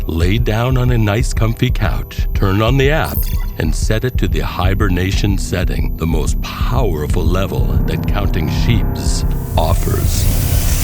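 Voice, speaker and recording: A man narrating a scripted horror story in a deliberate, suspenseful way, with dramatic pauses. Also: low voice.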